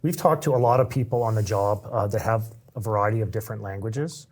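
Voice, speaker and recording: A man's voice: on the low side, fast, darkish tone, husky. A man with a Canadian accent co-hosting a podcast, talking conversationally and encouraging his guest. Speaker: podcast co-host, police constable. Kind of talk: casual conversation.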